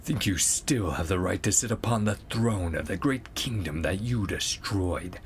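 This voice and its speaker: Man putting on a low, scornful warrior king. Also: a little hiss or hum. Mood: scornful, imperious. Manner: slow, imperious declaiming.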